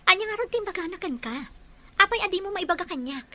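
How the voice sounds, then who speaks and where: A woman's voice: very high voice, expressive, fast talker, bright, forceful tone, exaggerated voice. A young woman with an Ilocano Filipino accent acting a probing yet caring fiancée in an audio drama. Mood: suspicious, insistent. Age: young adult.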